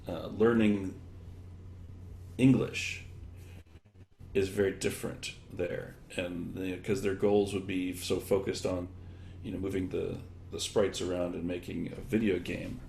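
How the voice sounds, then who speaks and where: An echoey room and some background noise surround this man's rather low voice. He talks conversationally as an interview guest. His answers come haltingly, built up from one example after another as he thinks. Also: level tone, creaky, a little mellow. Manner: halting, thinking aloud in loose chains of examples. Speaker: interviewed guest, education technology expert.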